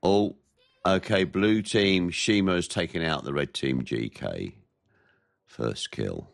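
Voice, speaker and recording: A man's voice: low-pitched, vocal fry, no echo. Nasal man with a head cold. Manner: measured, plain-spoken play-by-play. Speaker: gameplay commentator. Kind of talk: naval game commentary.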